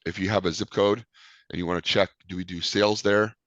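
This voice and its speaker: Low voiced guy with a cold, lots of ums, training a sales team over a dull lo-fi call. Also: steady tone, brisk, a bit bright, dry recording. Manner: rambling, many ums. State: sick with a cold.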